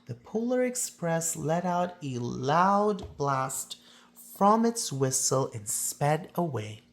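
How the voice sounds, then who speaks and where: A woman reading a children's picture book aloud as a storyteller, with theatrical phrasing and drawn-out emphasis. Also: sits low, very animated.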